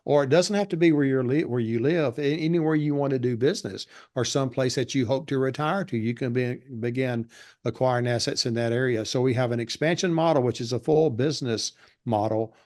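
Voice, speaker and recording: Male guest, monotone voice, mid pitch, steady explainer. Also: a little fast. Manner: steady explanatory delivery with fillers. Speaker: guest, real estate investor and educator. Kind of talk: casual interview talk.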